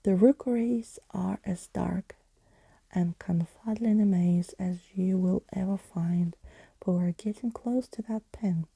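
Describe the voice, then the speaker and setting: A theatrical skeleton adventurer from a bedtime storybook, played by a woman. He is jaunty and wordy in an old-fashioned way, with a very soft, breathy, whispery delivery, close and dry. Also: medium pitch, slow, no echo, exaggerated voice. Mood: confident, dramatic. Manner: theatrical, wordy, whimsical phrasing.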